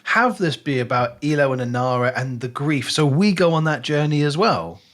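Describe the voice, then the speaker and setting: A man's voice: fairly high pitch, lively intonation, moderate pace, a bit bright. A man co-hosting a book podcast in casual discussion, often voicing imagined reactions as he talks.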